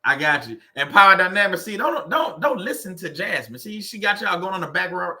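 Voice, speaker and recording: A man with a Louisiana drawl hosts a relaxed livestream, loose and teasing as he greets viewers one by one. His voice is bright and forward, sitting a little higher than most men's. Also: fairly quick. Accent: Louisiana Southern US. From Louisiana, USA. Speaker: livestream host. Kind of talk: casual livestream chat with viewers.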